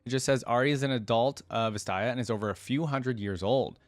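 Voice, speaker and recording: Fast-talking man, dry close sound. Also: medium pitch, no echo. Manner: informal, conversational asides. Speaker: reaction video creator. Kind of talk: casual reaction commentary, reading a fan wiki aloud.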